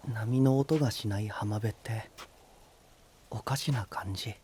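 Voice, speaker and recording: 海の国を守る若い青年を演じる男性声優。柔らかく内省的で、真摯な話し方。. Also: male voice, lowish voice, a little slow, slight background noise, close to an everyday voice. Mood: pensive. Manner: soft, introspective, earnest. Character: gentle young male prince of a sea kingdom. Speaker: voice actor. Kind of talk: anime voice drama.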